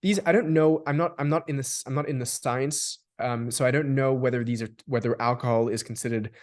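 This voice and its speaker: A man's voice: medium pitch, brisk. A man from Australia talks in an ordinary middle register, in a thoughtful conversational tone. He circles back on his words, restarting phrases as he works toward each point. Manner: thoughtful, restarts and repeats words while building long sentences. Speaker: guest. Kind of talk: reflective conversational discussion.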